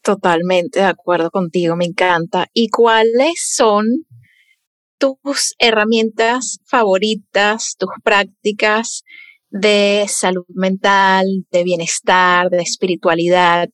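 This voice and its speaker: A woman's voice: mid-range voice, fairly even intonation, slow, no echo. A woman hosting an interview, affirming her guest and asking layered questions about wellbeing. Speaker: podcast host.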